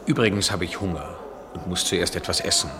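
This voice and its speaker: Low-voiced, wry, aloof European man. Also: background noise. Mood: amused. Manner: measured, poetic, wry. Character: restless, wry European traveller, aloof yet attracted man. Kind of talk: 1970s German radio play acting.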